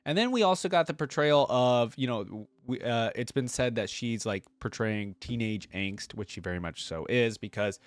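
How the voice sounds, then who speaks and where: A man's voice: medium pitch, lively intonation, normal speed, few pauses, no echo. A man giving casual reaction commentary as a video host, rambling conversationally and often checking in with the listener.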